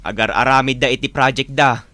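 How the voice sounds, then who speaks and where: A man plays an idle, gaming-obsessed husband in an Ilocano radio drama. His voice sits at a middle pitch. He grumbles and whines in complaint. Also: brisk, sharp tone, no room sound, close to an everyday voice. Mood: reluctant. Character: grumbling, idle husband and father, online gamer.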